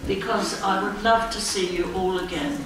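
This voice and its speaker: A woman speaking slowly and graciously to schoolchildren as a guest speaker. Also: medium pitch, fairly even intonation, a crowd in the background. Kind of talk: talk and Q&A with schoolchildren. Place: school hall. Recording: echoey hall from far off, background noise.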